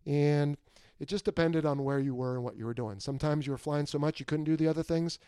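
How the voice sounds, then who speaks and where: Male podcast host in his forties, upbeat interviewer, slightly high, warm and dry. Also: fast, smooth, dry recording.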